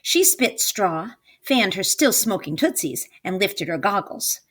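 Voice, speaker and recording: Expressive, unhurried woman reading to children. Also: medium pitch. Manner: dramatic emphasis, coaxing questions to listeners. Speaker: storybook reader, teacher. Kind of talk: expressive read-aloud to children.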